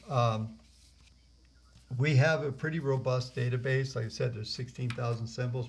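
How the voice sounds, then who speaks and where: A man hosting and leading a live software training webinar over a video call, rambling with many fillers and restarts. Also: mid-range voice. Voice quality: gravelly. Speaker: host and trainer. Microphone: computer mic on a video call.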